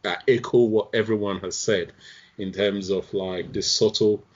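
A man in a casual group discussion, speaking in a measured, reflective way with frequent pauses. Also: lowish voice, steady tone, breathy edge. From outside the UK. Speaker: panel guest. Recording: dull lo-fi sound.

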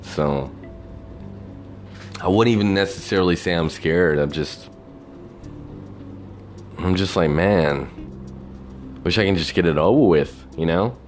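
A man with a very deep voice talks alone in a loose, meandering monologue, often checking in with "you know?" His tone is reflective and wistful. Soft music plays faintly underneath. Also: measured pace, pauses often. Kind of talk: rambling personal monologue.

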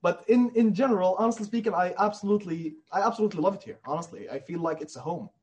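Male indie developer, high-pitched and slightly raspy, earnest and emphatic in a conversational interview. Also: fast talker, a little mellow. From Middle East.

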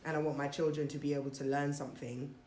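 A woman's voice: low voice, level tone, quick pace, darkish tone, a little hiss or hum. A young adult woman in a casual group chat, thinking aloud about family and heritage, with plenty of fillers and restarts. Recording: roomy echo.

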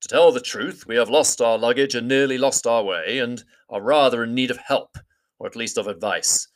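A man voicing an old wizard, measured and formal in speech. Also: mid-range voice, close to an everyday voice. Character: old male wizard, courteous and grave.

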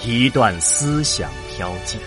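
A man's voice: medium pitch, expressive, slow. A man narrating a science fiction audio drama as a scripted, dramatic story. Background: prominent background music.

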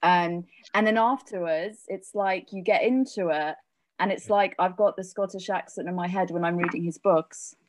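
A woman's voice: on the low side, moderate pace, no echo. An English woman chatting casually, enthusiastic and full of fillers. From England. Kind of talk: casual chat.

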